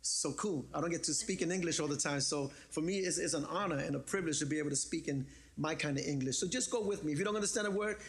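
A man preaching in a loose, joking, conversational way, his voice sitting a bit high for a man. He teases the congregation and then settles into prayer without losing his easy manner. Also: fast, hardly any pauses. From the Bronx, New York. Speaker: pastor. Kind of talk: sermon.

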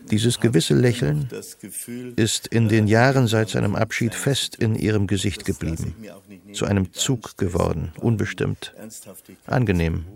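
An actor playing a sombre, watchful narrator in a literary radio drama, measured and detached. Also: male voice, fairly low pitch, vocal fry. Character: sombre, watchful narrator of a woman's old love. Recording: faint music under narration. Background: faint music.

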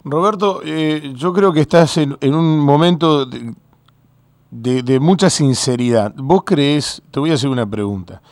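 Smooth-voiced radio interviewer. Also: male voice, a little high, a little slow, darkish tone, dry recording. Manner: probing, hesitant with fillers.

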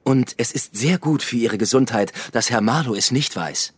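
An actor playing a cool, coercive police detective in a German radio drama, husky, terse and formally controlled. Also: male voice, mid-range voice, normal speed, close to an everyday voice. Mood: quietly threatening.

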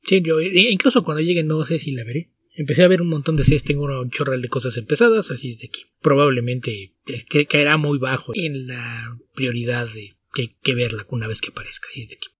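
Male podcast co-host, husky tone, mic at some distance, dry relaxed delivery. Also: mid-range voice, fairly quick, hardly any pauses, dry recording. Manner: relaxed, wry, reads listener questions aloud. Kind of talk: casual comics chat.

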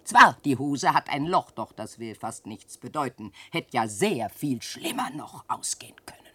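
A woman's voice: a bass voice, very animated, moderate pace, fairly bright tone, husky, full character voice. An actress playing an old peasant widow and mother who scolds and laments in declamatory verse in a radio drama. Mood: relieved, anxious. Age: older. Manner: declamatory, nagging and wailing, dramatic swings.

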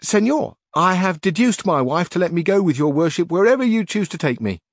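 A man's voice: sits high, sing-song, fairly quick, no echo, studio quality, voice pushed up, exaggerated voice. A man doing Sancho, the blundering squire. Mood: earnest. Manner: earnest, homespun and insistent. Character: simple, stubborn peasant squire, blundering.

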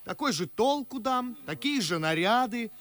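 An actor playing an indignant, eloquent young gentleman, declaiming sarcastically in verse in a theatrical radio play. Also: very high-pitched, animated, moderate pace. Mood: scornful, ironic.

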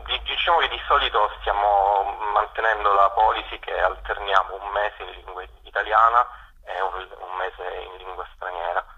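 A man being interviewed on radio by phone, explaining things in a halting way with many pauses. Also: lowish voice, fairly even intonation, bright, forceful tone, breathy edge. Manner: hesitant, halting with frequent pauses. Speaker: community radio programmer, interview guest. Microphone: phone call. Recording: dull telephone call.

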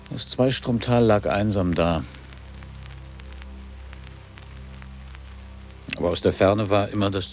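An actor playing a reflective older former boarding-school pupil in a literary radio drama, reciting in a measured, lyrical and formal way. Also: male voice, low-pitched, natural voice, rough recording. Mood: pensive, poetic.

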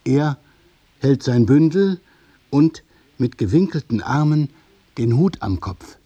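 A man narrating a scripted radio drama in the present tense, with deliberate, measured phrasing. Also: mid-range voice, very animated, warm tone, husky, exaggerated voice.

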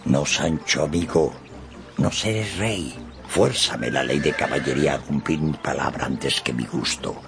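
An actor playing a solemn, chivalrous older knight in a radio drama, with grandiloquent delivery. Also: male voice, extremely low pitch, measured pace, creaky, music in the background, full character voice. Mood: solemn, noble. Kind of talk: Spanish-language radio drama acting.

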